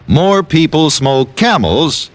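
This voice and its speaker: A man's voice: fairly high pitch, sing-song, slow. An American man announcing a scripted mid-century radio commercial in a polished, emphatic way. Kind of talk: 1940s-50s scripted radio advertising.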